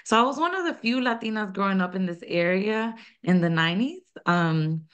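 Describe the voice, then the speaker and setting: A woman's voice: medium pitch, lively intonation, moderate pace, a bit bright, smooth, lo-fi sound. A woman, answering interview questions earnestly with frequent restarts. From United States (North Carolina). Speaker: guest, nonprofit founder and social worker.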